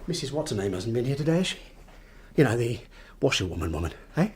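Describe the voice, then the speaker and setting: A man's voice: medium pitch, big pitch swings, fast talker, a little mellow, slight background noise, close to an everyday voice. An actor playing a curt, evasive middle-aged English husband and father in a radio drama, domineering but hesitant when questioned. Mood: irritable, curt. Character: evasive, irritable husband and father hiding guilt.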